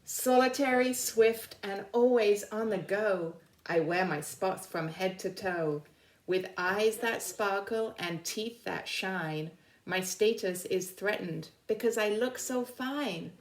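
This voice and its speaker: Sleek solitary storybook snow leopard, read by a woman, proud, deliberate punchy verse, roomy echo. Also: mid-range voice. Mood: proud, wary. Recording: roomy, echoing indoor sound.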